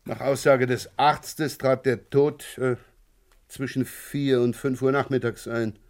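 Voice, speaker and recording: An actor playing a wily police inspector in a German radio crime play, probing with hesitant, feigned casualness. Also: male voice, mid-range voice, fairly even intonation, takes it slowly. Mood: speculating. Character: wily male police inspector questioning a neighbour of a murder victim.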